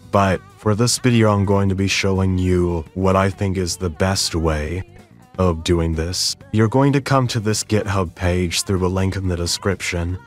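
A man with a low voice hosts a software tutorial. He explains things step by step, with music playing clearly behind him. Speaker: tutorial host. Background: background music.

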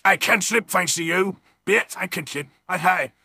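Male comic voice-over: high-pitched, piercingly bright, crude and exclamatory, dry close sound. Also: moderate pace, slightly rough, no echo. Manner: exclamatory, ranting, swearing. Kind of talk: improvised comedic skit voice-over, crude and profane.

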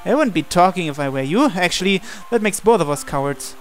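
Higher-voiced man reading a visual novel. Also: expressive, fairly quick. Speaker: gameplay reader voicing the male protagonist. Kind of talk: reading visual novel dialogue and narration aloud in character. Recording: music playing under the voice.

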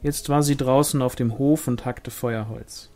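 A man's voice: medium pitch, fairly even intonation, measured pace, warm tone, faint music behind. A man reading a novel aloud as scripted audiobook narration. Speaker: audiobook reader.

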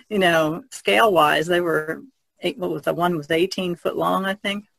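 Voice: medium pitch, measured pace, vocal fry, fairly bright tone, no echo. A podcast co-host chatting casually in a rambling way. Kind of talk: casual chat.

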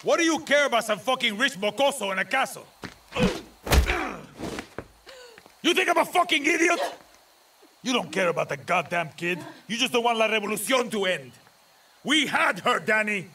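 An actor playing a hardened, cynical older guerrilla mentor in a video game, with a blunt, profane, goading manner. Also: male voice, high-pitched, very animated, brisk, bright, forceful tone, recorded from a distance, slight background noise, heavily acted. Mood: angry, incredulous. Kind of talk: cinematic video game acting.

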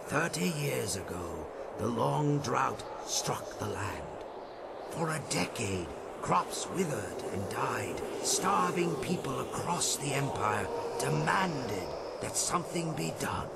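The spirit of an aged abbot talks with a gravelly creak and weighty, formal wisdom, like a fantasy game storyteller. A loud ambient rumble sits behind him. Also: male voice, sing-song, slow pace, exaggerated voice. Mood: solemn recounting. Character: ghost of an old male monastery abbot, grave and wise. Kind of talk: scripted video game voice acting.

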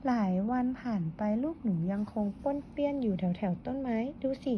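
A woman with a fairly high voice reads a children's picture book aloud, taking a slow, clear storytelling pace and stopping now and then for playful asides to her young listener. The recording is dull and lo-fi, with a faint hiss underneath.

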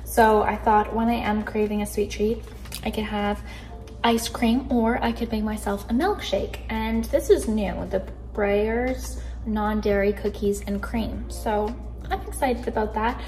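A young woman from Canada chatting casually in her kitchen, bubbly and easily excited, filmed on a phone camera. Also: mid-range voice. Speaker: vlogger. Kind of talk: casual grocery haul chat. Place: kitchen indoors.

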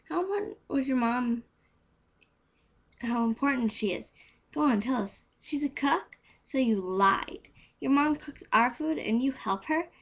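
Teen girl acting a haughty, high-voiced queen bee. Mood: scornful. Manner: pointed, condescending. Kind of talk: amateur audition reading.

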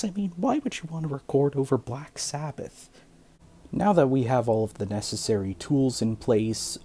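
A man hosting a casual how-to tutorial, explaining things in a measured way. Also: on the low side, warm tone. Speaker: tutorial host.

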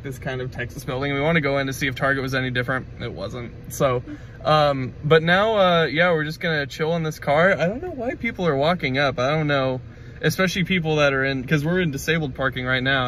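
A young man from Kansas vlogs into his phone at arm's length. His voice is bright and forward, with a mid pitch, and he rambles loosely through fillers and restarts. Faint street and car ambience sits behind him. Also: brisk, a little hiss or hum. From Kansas, USA. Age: young adult. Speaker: vlogger. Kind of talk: casual vlog chat. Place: street outdoors, then inside a parked car. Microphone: phone held at arm's length.

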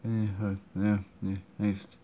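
A man commenting on a game he is playing, halting and full of fillers and restarts, recorded at home. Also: lowish voice, flat delivery, warm tone. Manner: hesitant, many fillers and restarts. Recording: dull muffled recording, faint room noise.

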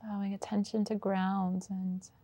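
A woman guiding a meditation as its teacher, with unhurried, gentle prompts and long pauses. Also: mid-range voice, flat delivery, no room sound. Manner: slow, pausing, unhurried delivery with gentle prompts. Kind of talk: guided meditation.

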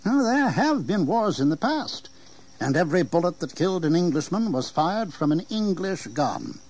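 A man playing a confident, evasive colonial English official in an audio history drama, speaking in measured declamation. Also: high voice, sing-song, darkish tone, husky, heavily acted, low-quality recording. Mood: assured. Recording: 1980s audio drama with background noise.

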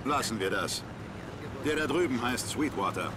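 An actor playing a gruff, weary, seasoned army sergeant who talks bluntly and gives commands. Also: male voice, mid-range voice, lively intonation, normal speed, close to an everyday voice. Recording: loud vehicle and outdoor noise.

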